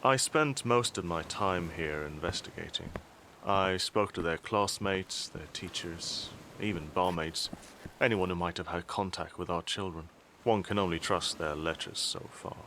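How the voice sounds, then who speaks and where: Man playing a low-voiced, sardonic elder statesman. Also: bright, forceful tone, a little hiss or hum, exaggerated voice. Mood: measured, matter-of-fact. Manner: measured, formal, dry wit. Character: composed, sardonic father and statesman, dryly unbothered. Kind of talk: audio drama acting.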